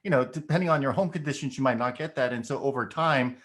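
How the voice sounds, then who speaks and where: A man's voice: mid-range voice, fast talker, fairly bright tone. A middle-aged man whose first language is Korean, explaining ideas in a casual discussion and checking in with "right?" as he goes. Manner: thoughtful, explains with examples, frequent "right?". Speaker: education podcast guest.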